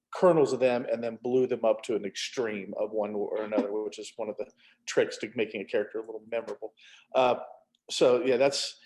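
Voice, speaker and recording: Male author on a panel, mid-range voice, casual, halting, wry self-deprecating delivery. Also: mid-range voice, a little mellow, low-quality recording. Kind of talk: casual conversational interview.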